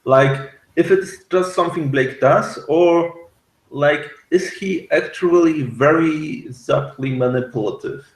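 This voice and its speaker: Man, slow pace, halting and hesitant, casual series discussion, roomy echo. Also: fairly high pitch. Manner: hesitant, halting, searching for words. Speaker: reviewer on a discussion show. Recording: echoey room sound.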